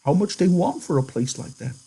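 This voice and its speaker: Guy playing old farm hand, way high pitched, folksy, hopeful n excitable, storybook character. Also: normal speed. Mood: eager, hopeful. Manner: rustic folksy speech, excitable. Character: eager old one-handed male ranch hand, hopeful. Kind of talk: audiobook-style character reading.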